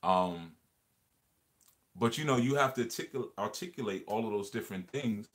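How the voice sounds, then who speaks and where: A man with a fairly low voice leads a relaxed livestream conversation. He talks in an earnest, reflective way, circling back over words and phrases as he works through an idea. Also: normal speed. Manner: earnest, reflective, repeats phrases while explaining. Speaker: livestream host. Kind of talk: casual livestream discussion.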